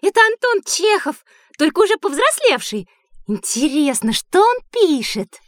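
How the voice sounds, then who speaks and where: Любопытного ребёнка, очень высокий голос. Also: female voice, very high voice, sing-song, unhurried, no echo, studio quality, full character voice. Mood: curious. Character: curious, observant, excitable young child. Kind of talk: Russian children's audio drama.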